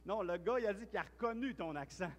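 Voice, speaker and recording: Man, Quebec French accent, pastor, high-pitched, chatty sermon, church hall, long reverb. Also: quick pace. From Quebec, Canada. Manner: chatty, storytelling, with frequent restarts and repeated words.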